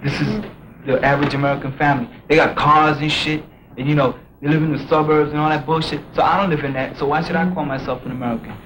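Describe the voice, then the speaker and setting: A man from Puerto Rico talks bluntly and casually, fillers and curses throughout, in a slightly high voice. Clear noise hangs around the old recording. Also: fairly even intonation, fairly quick, fairly bright tone. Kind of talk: street interview. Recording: noisy archive street recording.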